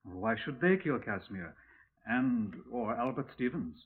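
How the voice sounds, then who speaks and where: A dull, band-limited old radio recording of a police sergeant. His husky voice moves up and down in lively fashion. He is earnest, clipped and questioning, with a deferential edge. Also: medium pitch, unhurried. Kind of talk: 1950s radio crime drama. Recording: muffled, narrow-band 1950s radio recording.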